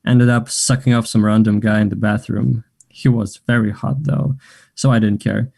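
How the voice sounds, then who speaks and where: Actor playing a confident, mellow, flirty man. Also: lowish voice, fairly even intonation, moderate pace, gravelly. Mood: teasing, forward. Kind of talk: table read of a stage play.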